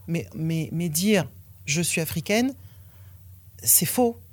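A woman's voice: fairly low pitch, expressive, takes it slowly, darkish tone. A French woman in her fifties, a former athlete, telling her own story in a frank, blunt way and swearing now and then. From France. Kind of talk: candid personal testimony.

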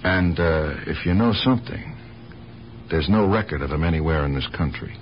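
An actor playing a calm, regretful police lieutenant in a radio drama, questioning in a measured, sympathetic way. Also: male voice, a bass voice. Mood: regretful, probing. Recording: muffled, hissy old radio broadcast.

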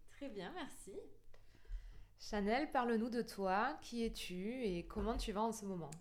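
Higher-pitched woman hosting a podcast. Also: a little slow. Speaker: podcast co-host, salon founder. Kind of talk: podcast introduction and questions.

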